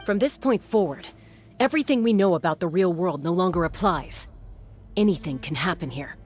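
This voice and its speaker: A woman voicing a calm, knowing, serious mystic guide in a video game, measured and explanatory. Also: fairly low pitch, faint music behind. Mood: solemn.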